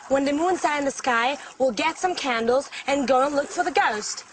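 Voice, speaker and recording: An actress as an eager young woman with a high voice. She is earnest and insistent, speaking scripted lines in a learners' drama. A clear noisy wash sits behind her. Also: moderate pace, close to an everyday voice. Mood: excited, earnest. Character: eager young woman keen on ghost hunting.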